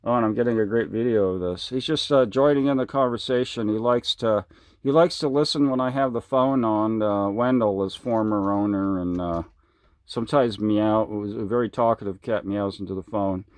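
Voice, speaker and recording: A man's voice: fairly low pitch, moderate pace, dry recording. An American man chatting casually as an amateur radio operator, rambling along in a folksy, enthusiastic way. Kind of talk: casual ham radio chat. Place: indoors at a home radio station.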